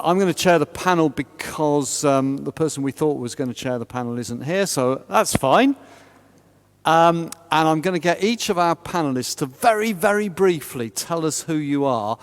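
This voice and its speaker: A man with a big, booming voice chairing a panel discussion, chatty and self-deprecating. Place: large parish church. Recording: long reverb of a big church.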